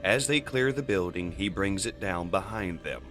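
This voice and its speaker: Guy narrating a comic, dramatic, lowish lively voice, faint music behind. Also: normal speed. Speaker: host and narrator of a comic dub. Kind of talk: dramatic scripted storytelling narration.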